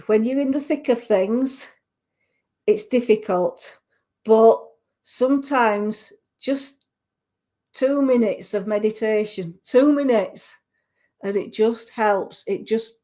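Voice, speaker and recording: A woman with a Yorkshire accent giving a live psychic reading on the radio, gentle and reassuring, often restarting her sentences. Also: on the high side, slow pace.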